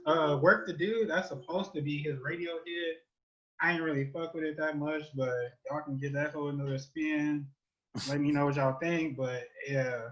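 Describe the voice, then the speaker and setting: An American man co-hosting a podcast, giving album reviews in casual, profane, animated and exasperated slangy banter. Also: on the high side, moderate pace, dull audio.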